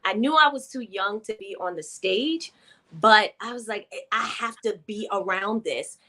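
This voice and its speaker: A woman's voice: medium pitch, moderate pace, bright, forceful tone. A woman, a guest talking frankly and laughing easily during an interview. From the Bronx, New York. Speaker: guest performer. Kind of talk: casual interview chat.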